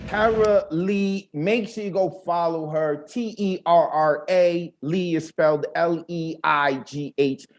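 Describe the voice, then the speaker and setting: A man's voice: fairly high pitch, fairly even intonation, takes it slowly, hardly any pauses. A man with a Bronx accent hosting an online poetry open mic over a video call, hyped and slangy as he brings up each poet. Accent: New York, Bronx.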